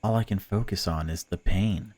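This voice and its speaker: Man reading as a low-voiced, brooding captive. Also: normal speed, darkish tone, no echo. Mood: weary, bleak. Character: suffering, bitter, frightened captive bound outdoors. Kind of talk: first-person visual novel narration read aloud.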